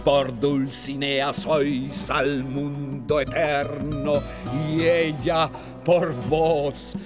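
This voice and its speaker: A man's voice: fairly high pitch, flat delivery, extremely slow. A man reciting verse and titles theatrically in a scripted radio production. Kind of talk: slow theatrical verse recitation and chapter announcement. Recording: plucked guitar music behind the voice.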